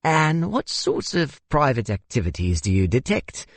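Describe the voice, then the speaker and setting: A man voicing a courteous, wistful older gentleman with a South African accent and a theatrical, hesitant manner in an audiobook. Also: mid-range voice, very animated, measured pace, breathy edge, dry recording, voice pushed up, full character voice. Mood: theatrical curiosity. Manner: theatrical, hesitant, old-fashioned politeness.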